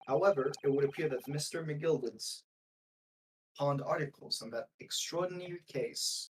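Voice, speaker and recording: A man's voice: fairly high pitch, moderate pace, close to an everyday voice. A man voicing a pompous, indignant judge in a Victorian courtroom, speaking formally in a video game fan dub. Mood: stern, puzzled. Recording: distant mic with faint noise.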